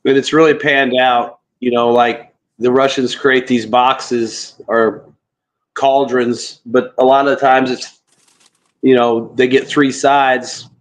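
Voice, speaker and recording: A man's voice: medium pitch, level tone, slow, rough recording. A man in a casual online discussion, rambling and searching for the right words.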